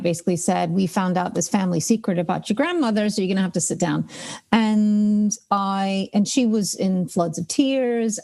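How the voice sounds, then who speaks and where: A woman's voice: mid-range voice, a little mellow. A woman from the United States whose first language is Ukrainian, talking as a guest author over a video call in a chatty way, with many ums and restarts. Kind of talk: casual interview storytelling.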